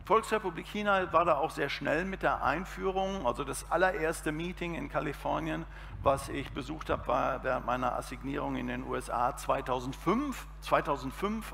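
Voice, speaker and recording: A man's voice: a little high, gravelly, a bit bright. A man in his fifties giving a talk on a conference panel, gesturing animatedly as he speaks on an outdoor event stage. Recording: reverberant PA sound with faint background noise.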